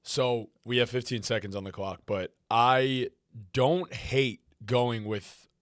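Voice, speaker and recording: A man co-hosting a casual fantasy football discussion, talking quickly with frequent restarts. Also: mid-range voice, steady tone, no room sound, clean studio sound. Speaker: co-host.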